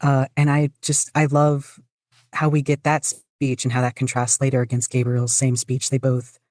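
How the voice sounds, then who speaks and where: Casual podcast guest, halting but enthusiastic, dry sound. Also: medium pitch, monotone, darkish tone, no room sound. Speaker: podcast guest, fan fiction writer. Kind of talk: casual interview chat.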